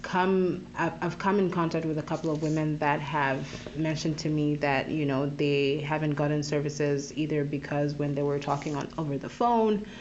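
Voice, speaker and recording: A woman from Zambia, a podcast guest who works with youth, talking in a thoughtful, searching way with many pauses and restarts. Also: low voice, steady tone, a little mellow, lo-fi sound, slight background noise. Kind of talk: casual interview talk.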